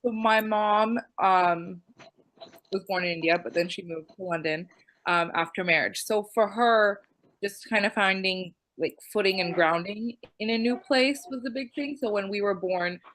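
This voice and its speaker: A woman's voice: mid-range voice, moderate pace, fairly bright tone, poor audio. A woman who grew up in the United States, talking as a guest on a panel in a loose way with many ums and likes. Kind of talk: casual panel talk.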